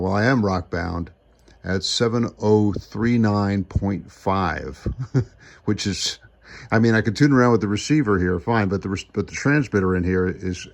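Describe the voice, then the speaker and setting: A man's voice: low-pitched, gravelly. A man talking casually and off the cuff as a radio hobbyist, in a rambling, conversational way. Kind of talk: casual off-the-cuff vlog talk.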